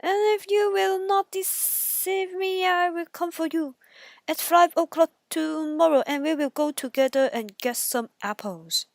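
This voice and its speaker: A sly big bad wolf from a bedtime storybook, put on by a woman. He coaxes and schemes in slow, drawn-out phrases with a forceful, menacing push. The sound is dry. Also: very high voice, dry recording, voice pushed up. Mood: coaxing.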